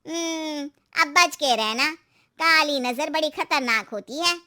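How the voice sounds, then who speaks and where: A knowing cartoon auntie, put on by a woman, talks in a high voice. She is a superstitious busybody who stretches out her words with cautionary emphasis. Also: takes it slowly, pushed and bright, heavily acted. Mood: ominous.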